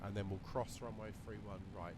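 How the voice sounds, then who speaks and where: A man with a slightly croaky voice, casually talking through a flight simulator session while thinking aloud with lots of fillers. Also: fairly low pitch, fairly even intonation, a little fast. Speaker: flight sim video creator. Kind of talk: relaxed running commentary while flying a simulator. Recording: voice over loud simulator cockpit noise.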